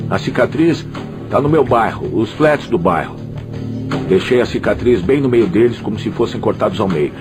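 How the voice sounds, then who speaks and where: A man's voice: fairly low pitch, expressive, moderate pace, over music, lightly acted. A man dubbing a proud adult former gang member, talking in casual street style with frequent tag questions. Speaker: dubbed interviewee. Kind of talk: documentary dub voice-over.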